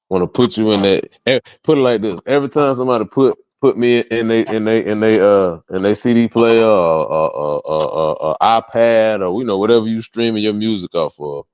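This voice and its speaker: A man's voice: on the low side, a little mellow, no echo, dull audio. A man speaking casually as a music artist guest in an interview, motivational, emphatic and repetitive, with slangy tag questions.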